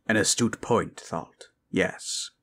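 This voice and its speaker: A man voicing a grave skeleton-faced wizard with a low gravelly voice and a measured, formal manner, in a fantasy ASMR roleplay. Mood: solemn, commanding. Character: grave, scary skeleton-faced male wizard, wise quest-giver.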